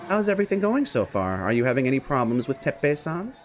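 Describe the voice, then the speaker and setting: A man's voice: medium pitch, sing-song, fast talker, slight background noise, close to an everyday voice. A man voicing a polite but firm social worker who speaks formally and insistently.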